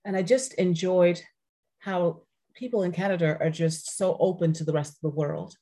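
Low-voiced woman, earnest interview guest. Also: normal speed, dark tone, slightly rough. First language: French. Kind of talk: casual interview conversation.

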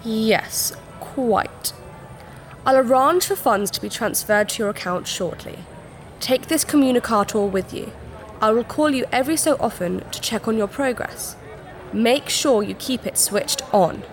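A woman's voice: fairly high pitch, lively intonation, unhurried, soft background music. A woman playing a scheming, haughty alien princess in a sci-fi audio drama, speaking in formal, dramatic phrases.

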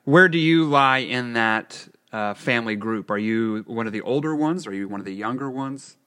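A man's voice: mid-range voice, normal speed, bright, forceful tone. A man hosting an interview, asking curious and encouraging questions. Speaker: interview host. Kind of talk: conversational interview.

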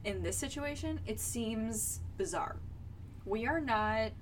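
A woman co-hosting a book podcast, casually analysing what she reads and halting as she explains. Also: a little high, animated. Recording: distant mic with background noise.

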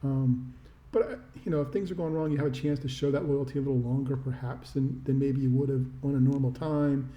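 A man's voice: mid-range voice, steady tone, brisk, hardly any pauses, dark tone, lo-fi sound. A man co-hosting a sports podcast, giving casual commentary in an echoey, roomy recording. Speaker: podcast co-host.

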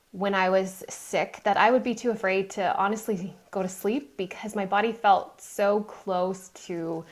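A woman guest on a podcast, telling her life story in an earnest, emphatic way. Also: medium pitch. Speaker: guest, mind coach. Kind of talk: interview storytelling.

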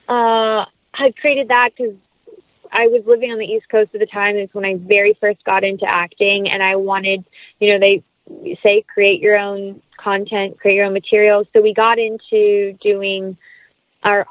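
A woman's voice: medium pitch, no room sound. Chatty woman on dull lo-fi audio. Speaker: actress, producer and interview guest. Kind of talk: casual interview conversation. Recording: dull, lo-fi, band-limited audio.

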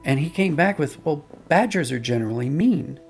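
A man's voice: fairly high pitch, lively intonation, normal speed, creaky, faint music behind. A man being interviewed as an audio drama producer, explaining conversationally and telling anecdotes with quoted dialogue.

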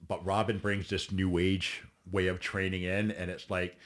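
A man's voice: low-pitched, little pitch movement, normal speed. A man in his forties, a bodybuilder guest telling his story bluntly in a podcast interview, swearing freely and often restarting. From Ontario, Canada.

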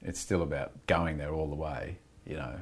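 A man's voice: extremely low pitch, steady tone, moderate pace. A middle-aged Australian man talking candidly in an interview, rambling with frequent restarts and "you know" fillers. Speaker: actor being interviewed. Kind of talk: reflective conversational interview.

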